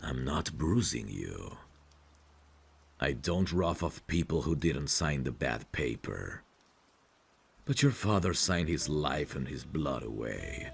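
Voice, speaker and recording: A man playing a possessive, protective mafia boss in an intimate audio roleplay, calmly menacing, commanding and smug. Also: full character voice. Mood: possessive, firm. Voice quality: deep, gravelly. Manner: slow, commanding, smug, teasing endearments.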